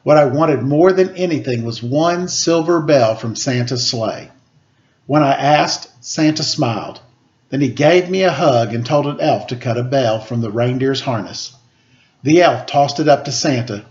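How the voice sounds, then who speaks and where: A man's voice: mid-range voice, a little slow, a little mellow, highs cut off. A man reading a children's picture book aloud as a storyteller. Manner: acts out quoted dialogue.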